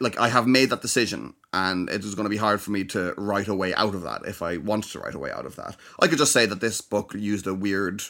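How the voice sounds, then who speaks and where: A young man co-hosting a podcast, explaining at length and carefully, with frequent pauses and restarts. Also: lowish voice, fairly bright tone. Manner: hesitant, many ums and restarts, explains carefully. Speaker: podcast co-host. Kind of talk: casual chat.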